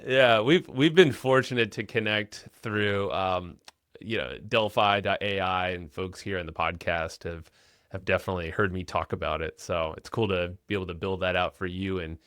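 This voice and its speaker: A man's voice: low voice, normal speed, a bit bright, smooth, no echo, clean studio sound. A man hosting a podcast interview, upbeat and chatty, often repeating words as he starts sentences. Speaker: podcast host. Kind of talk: casual podcast interview with ad read.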